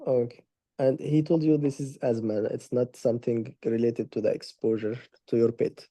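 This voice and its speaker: A man's voice: mid-range voice, fairly even intonation, normal speed, mellow, dry recording. A man playing a doctor taking a patient's history, polite and formal, with slightly awkward phrasing. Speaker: doctor in practice consultation. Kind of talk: role-played clinical history taking.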